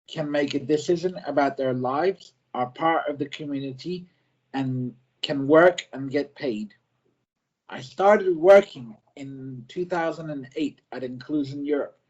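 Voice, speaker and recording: Man, slightly high voice, careful simple sentences, presentation, dull lo-fi sound. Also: a little mellow, breathy edge. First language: not French; French is a second language. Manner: simple short sentences, slow and careful with some restarts. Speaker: easy-to-read editor and self-advocate. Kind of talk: prepared presentation, read carefully.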